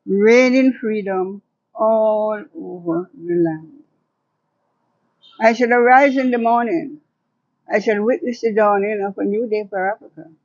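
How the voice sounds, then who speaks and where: A woman's voice: medium pitch, unhurried, a little mellow, no echo. An older woman, speaking as a guest and poet in dull, lo-fi audio. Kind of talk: reminiscing in conversation and reciting own poetry.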